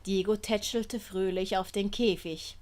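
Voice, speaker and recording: A woman's voice: medium pitch, steady tone, a little slow, background noise. A woman with a Swiss German accent reading scripted storybook narration as an audiobook narrator.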